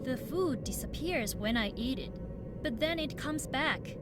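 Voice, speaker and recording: High-voiced, vain, skittish schoolgirl voiced by a woman. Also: animated, a little slow, loud music behind the voice. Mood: uneasy. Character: vain, blunt, skittish female foreign exchange student. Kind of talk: visual novel voice acting.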